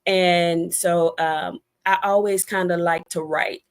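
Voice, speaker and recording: A woman's voice: on the low side, steady tone, takes it slowly, clean tone. A middle-aged American woman talking casually as an interview guest, in a chatty, storytelling way with conversational asides. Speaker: guest, debut novelist.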